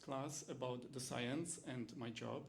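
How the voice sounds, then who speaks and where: Czech guy lecturing in english, gravelly voice, lots of uh and restarts, bit of hiss. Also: medium pitch, steady tone. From Czech Republic. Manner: halting, many fillers and restarts in second-language English. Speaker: university lecturer. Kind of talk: teaching demonstration talk.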